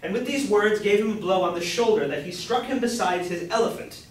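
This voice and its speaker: A man's voice: high-pitched, a little fast, natural voice. A man playing an earnest young grocer's apprentice, grandly reciting a chivalric romance in a staged reading. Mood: rapt, heroic. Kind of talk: amateur staged reading of a period comedy. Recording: echoey room from far off, faint noise.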